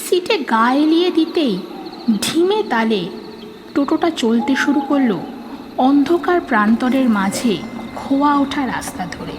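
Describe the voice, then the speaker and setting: A woman's voice: high voice, animated, normal speed, noisy background. A woman narrating a scripted horror story in a dramatic storytelling style. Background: prominent background music.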